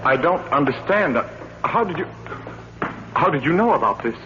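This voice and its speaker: A man playing a well-off homeowner in a 1940s radio thriller, hesitant and flustered, quick to turn indignant. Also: on the high side, big pitch swings. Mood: puzzled, uneasy. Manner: hesitant, then indignant and emphatic. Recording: dull vintage radio broadcast, faint hum.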